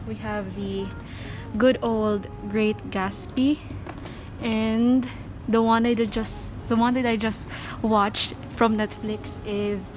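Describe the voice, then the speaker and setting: A woman's voice: a little high, background noise. Chatty Filipino woman vlogging. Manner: chatty, many fillers and self-corrections. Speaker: book vlogger. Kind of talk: casual vlog chat.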